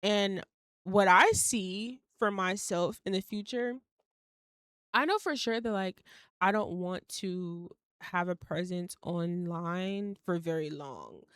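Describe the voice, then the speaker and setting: A woman's voice: fairly low pitch, slow pace, fairly bright tone, clear, resonant tone, dry recording, clean studio sound. A young woman in her twenties hosting a solo podcast, rambling casually with many fillers, occasional swearing and laughs mid-sentence. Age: young adult. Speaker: podcast host.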